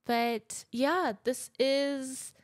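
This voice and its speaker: A woman's voice: high-pitched, fairly even intonation, drawn-out words, dry recording. A young woman in her twenties hosting a casual solo podcast from her living room couch, chatty and candid, laughing now and then, speaking close into a handheld mic. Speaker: podcast host. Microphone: handheld dynamic mic, close.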